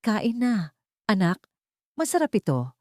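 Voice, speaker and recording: Humble young Filipina heroine for a story, played by a female narrator, tender, timid, softly pleading. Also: mid-range voice, very animated, a little slow, no echo, clean studio sound, voice pushed up. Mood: tender coaxing. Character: gentle, humble, timid poor young woman.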